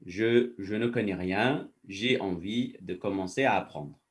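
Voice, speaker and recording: A man's voice: lowish voice, a little slow, far from the mic. A man, a faculty director, giving explanatory answers as an interview guest and patiently walking through first-person examples.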